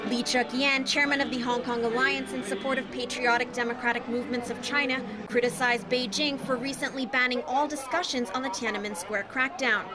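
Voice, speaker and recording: A woman's voice: sits high, fairly even intonation, brisk, hardly any pauses, a loud crowd. A woman delivering a news report in a scripted, on-the-scene style, as a reporter. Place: outdoors on a busy street at a protest. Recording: clear street noise behind the voice.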